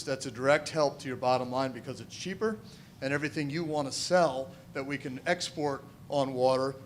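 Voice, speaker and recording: A big-voiced man, speaking confidently through a handheld mic at an event hall. His voice sits in the middle range, with a faint rumble underneath. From Missouri. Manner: loud, confident, says he has a big voice. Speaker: political candidate at a forum. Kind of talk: forum answers, public speaking. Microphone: handheld microphone.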